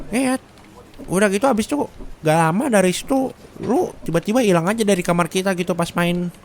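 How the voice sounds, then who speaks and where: Guy doing a gossipy jakarta coworker dude, high pitched, slangy and rambly, kinda dramatic audio roleplay. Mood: gossipy, baffled. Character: gossipy, excitable male office coworker, easily flustered. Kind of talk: casual slangy roleplay chat.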